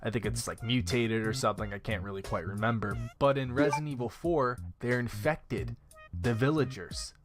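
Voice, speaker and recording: A man in a middle-pitched voice reacts to a video clip. He firmly contradicts what he hears, then trails off when unsure of the details. Faint music plays behind him. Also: moderate pace. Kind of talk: reaction commentary.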